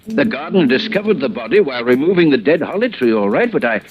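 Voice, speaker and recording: An actor playing a polite, hesitant English gentleman, slightly uneasy, in an old radio drama. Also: medium pitch, sing-song, fast, crowd noise, natural voice. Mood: flustered. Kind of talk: golden-age radio drama acting. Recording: muffled old radio recording, faint music.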